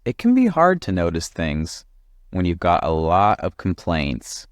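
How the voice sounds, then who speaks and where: Man voicing a gentle, unhurried storybook animal friend. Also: deep voice, wildly swooping pitch, no room sound, clean studio sound, full character voice. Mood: gently encouraging. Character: calm, helpful male friend animal, gently wise.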